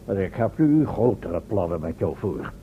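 An actor playing a fretful, excitable inventor professor, impatient and formal, in a radio play. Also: male voice, sits low, moderate pace. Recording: tinny old radio recording, faint hiss.

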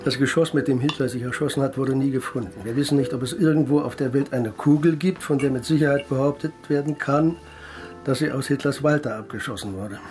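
A man's voice: mid-range voice, fast, natural voice. An actor as a matter-of-fact male investigator in a radio crime play. He explains soberly and precisely, with faint music underneath. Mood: sober, explaining. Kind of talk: radio drama dialogue.

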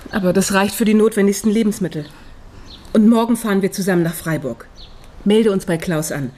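An actress playing a brisk, practical, sardonic best friend with a blunt, dry manner in a German radio crime drama. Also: female voice, medium pitch, warm tone, slight background noise, natural voice.

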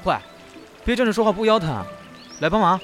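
Prickly young bird spirit, echoey. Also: male voice, high voice, very animated, fast, over music. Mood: irritated. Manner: grumbling, easily flustered. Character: proud, prickly young male bird spirit in human form. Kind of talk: Chinese fantasy audio drama acting.

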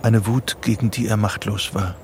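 An actor narrating a psychological thriller radio play as a sober storyteller. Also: male voice, fairly low pitch, fairly even intonation, moderate pace, a little hiss or hum, lightly acted. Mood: sober, suspenseful. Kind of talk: scripted literary narration.

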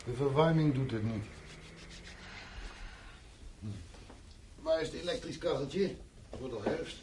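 A man acts out an anxious husband and father in a radio drama. He is gruff, terse and irritable, keeping his lines short and urgent. There is faint noise on the old recording. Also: mid-range voice, measured pace, dark tone, lightly acted. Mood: weary, restless. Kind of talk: naturalistic Dutch radio play acting.

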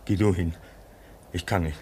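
A man's voice: sits low, steady tone, normal speed, heavy background noise, lightly acted. A man playing an earnest comrade who talks persuasively to his companions in a radio drama. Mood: fearful.

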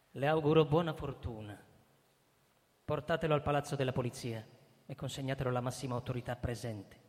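An actor playing a cold, pompous retired army chief, an older man speaking formally and deliberately in a radio drama. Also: medium pitch, natural voice. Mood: cold, dismissive. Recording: echoey hall, music underneath. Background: music.

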